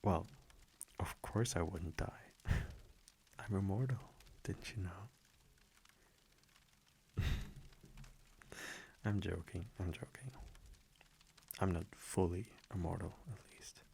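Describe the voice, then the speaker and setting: A man playing a tender, teasing vampire boyfriend in an intimate ASMR roleplay, speaking softly and affectionately with a breathy voice that dips toward whispers. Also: deep voice, fairly even intonation, exaggerated voice. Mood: playful. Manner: soft, unhurried, affectionate, gently teasing. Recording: faint background noise, crackling fireplace ambience.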